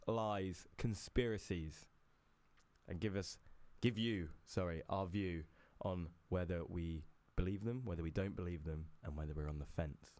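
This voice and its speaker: A young man with a British accent chats easily as a podcast co-host, laughing often. His voice sits fairly low. It is recorded close and dry, with no room sound. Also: a little mellow, dry recording. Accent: British English. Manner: laughs often, easygoing and chatty. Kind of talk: casual chat.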